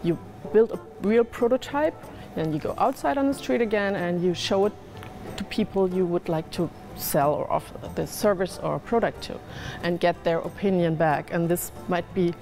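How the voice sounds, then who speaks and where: A woman explaining a design process step by step in an interview, calm and measured. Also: on the low side. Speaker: summer school organizer. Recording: music playing underneath.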